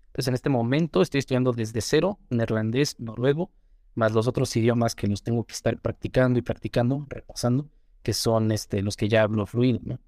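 A man's voice: medium pitch, darkish tone, no room sound, clean studio sound. A young Mexican man with a Mexican accent, a guest talking earnestly and motivationally in a casual interview, often repeating words as he gathers his thoughts. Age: young adult.

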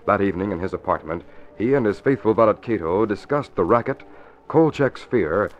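A man's voice: on the low side, animated, fairly quick. A man narrating a scripted 1940s radio serial as its announcer, formal and dramatic. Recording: muffled, noisy vintage radio recording.

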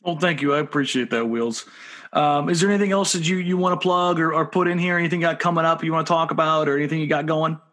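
A man with a slightly high voice talks quickly as a podcast co-host. His style is casual and conversational. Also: fairly even intonation, a bit bright.

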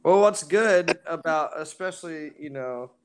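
A man who sings in a band, with a raspy voice, talking casually in an interview with lots of fillers. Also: a little high, measured pace, a little hiss or hum. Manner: relaxed, rambling with many fillers. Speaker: band singer.

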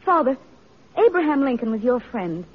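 A woman's voice: sits high, very animated, normal speed, husky, exaggerated voice. An actress playing an earnest, idealistic, well-bred young woman in an old-time radio drama, speaking politely and carefully. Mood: pleading. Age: young adult. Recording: muffled old radio recording.

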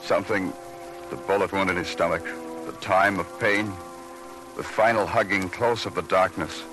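A man's voice: low voice, moderate pace, music in the background, lightly acted. Man acting a hard-boiled American radio detective. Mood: brooding, grim. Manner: terse, clipped, deadpan. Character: hard-boiled, curt, world-weary male police detective lieutenant. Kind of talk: 1940s-50s radio noir acting and narration. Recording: old radio broadcast recording.

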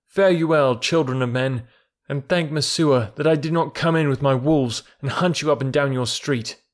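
A man's voice: mid-range voice, moderate pace, lightly acted. A man voicing a proud, scornful herdsboy raised by wolves in a storybook reading, assured and archaic in wording.

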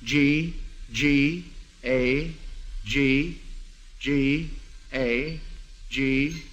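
A man's voice: mid-range voice, extremely slow, a bit bright, dull audio. A man hosting a 1940s radio quiz show in a folksy, avuncular way, repeating answers back, on an old broadcast recording.

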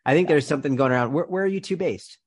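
A young man with a nasal, stuffy voice from a head cold, chatting fast as a podcast guest and restarting his sentences often. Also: fairly high pitch, breathy edge. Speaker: podcast guest, strength and nutrition coach. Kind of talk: casual interview chat.

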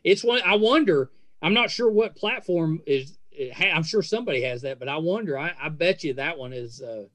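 A man's voice: a little high, lively intonation, brisk, a bit bright. A man hosting a casual interview podcast, talking in an easygoing, somewhat hesitant, conversational way.

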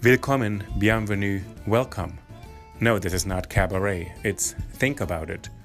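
Low-voiced male podcast host. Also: faint music behind. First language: German. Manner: thoughtful, measured, with pauses mid-phrase. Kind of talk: podcast interview, reflective.

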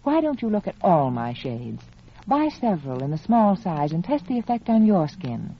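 A woman delivers a 1940s radio beauty pitch in a lowish, highly animated voice. She is confiding and emphatic, selling persuasively. The old recording has faint noise. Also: normal speed. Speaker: cosmetics spokeswoman. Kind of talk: 1940s radio advertisement, scripted sales talk. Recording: muffled, faintly noisy vintage radio recording.

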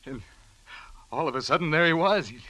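An actor in a 1940s-style radio crime drama playing a guilt-ridden, desperate husband, agitated and halting. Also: male voice, a little high, expressive, bright, forceful tone, dry recording, rough recording. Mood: shaken, panicked. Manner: agitated, stammering, halting delivery. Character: panicked, guilt-ridden husband who has just killed his employer.

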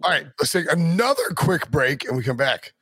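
Hoarse male podcast host. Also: a little high, lively intonation, brisk, fairly bright tone, no echo. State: raspy, hoarse voice. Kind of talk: casual sports chat, hosting.

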